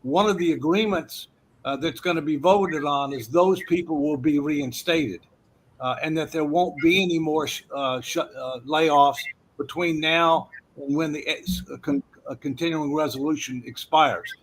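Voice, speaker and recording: A man's voice: a little high, unhurried, rough recording. A man hosting an economics forum, explaining policy effects with frequent hesitations and "okay" checks.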